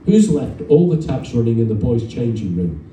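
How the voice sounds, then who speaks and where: Man doing a stern schoolteacher, mellow, echoey. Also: mid-range voice, very animated, normal speed, close to an everyday voice. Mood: indignant. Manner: barking, pompous scolding. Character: indignant strict male schoolteacher in a children's story. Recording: roomy distant event recording, faint noise.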